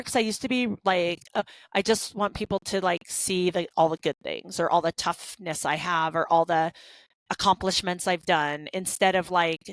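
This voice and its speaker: A woman's voice: lowish voice, no echo, low-quality recording. Chatty woman co-hosting a podcast. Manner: chatty, candid, many fillers and restarts. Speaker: podcast co-host. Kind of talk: casual podcast conversation.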